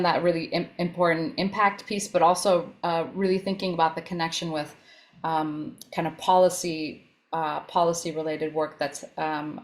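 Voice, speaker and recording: A woman giving a reflective, informal career talk on a panel, hesitantly searching for her words. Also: sits low. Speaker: panelist working in research equity.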